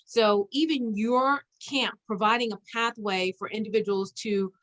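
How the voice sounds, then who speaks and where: A woman hosting a conversational interview, chatty and halting as she searches for her words. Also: fairly high pitch, fairly even intonation, a bit bright, poor audio. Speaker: interview host. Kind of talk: conversational interview with an informal guest introduction.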